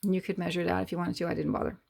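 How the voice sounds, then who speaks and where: A middle-aged woman, hoarse from losing her voice, hosts a casual sewing tutorial and explains her steps in a rambling, hesitant way with many fillers. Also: fairly low pitch, steady tone, racing, a little mellow. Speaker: sewing channel host.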